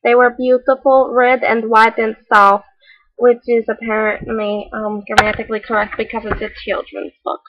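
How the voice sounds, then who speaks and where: Lady doing a really high voiced kids book reader, fake foreign accent, earnest and odd, parody storytime. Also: flat delivery, moderate pace, muffled recording, close to an everyday voice. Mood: quirky storytelling. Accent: mock foreign. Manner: exaggerated storytelling with odd, solemn asides. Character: Jo, quirky, earnest children's read-aloud hostess. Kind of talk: parody storybook read-aloud with deadpan asides.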